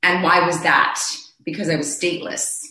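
A man's voice: on the high side, flat delivery, moderate pace, sharp tone, reverberant, poor audio. A man speaking earnestly on a panel discussion, telling his own story, often restarting his phrases. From Zambia.

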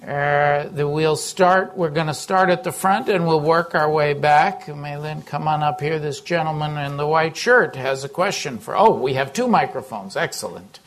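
Higher-voiced man moderating a Q&A. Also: fairly bright tone. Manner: brisk and organizing, directing the room. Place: conference hall before an audience.

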